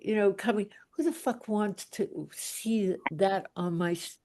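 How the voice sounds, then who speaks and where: A woman's voice: medium pitch, a little slow, warm tone. An older woman chatting casually in a group, blunt and rambling in the way she talks.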